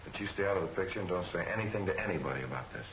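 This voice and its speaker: An actor playing a shrewd, authoritative newspaper editor in a clipped, businesslike 1940s film serial manner. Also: male voice, deep voice, expressive, very fast, fairly bright tone. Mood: probing, firm. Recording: noisy old film soundtrack.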